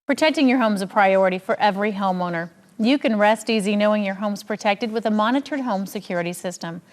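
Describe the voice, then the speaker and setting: A woman's voice: medium pitch, fast, long reverb tail, soft background music. A woman interviewing a guest in a scripted promotional segment.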